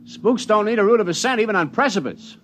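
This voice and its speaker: Excitable mountain climber in a 50s radio play, bright and bouncy. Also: male voice, high voice, fast, slight background noise. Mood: bantering. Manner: excitable and bantering. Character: lanky, eager mountain climber. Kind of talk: 1950s radio drama acting.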